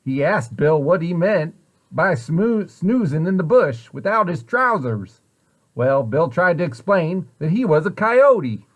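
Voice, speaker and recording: Higher-voiced man reading a children's story. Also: big pitch swings, measured pace. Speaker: storybook reader, site director at a children's center. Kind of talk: expressive children's read-aloud storytelling.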